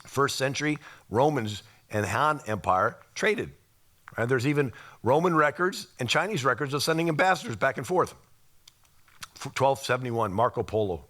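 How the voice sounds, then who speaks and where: A man with a slightly raspy voice giving an informal history lecture, explaining things and posing rhetorical questions as he goes. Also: medium pitch, expressive, moderate pace, fairly bright tone.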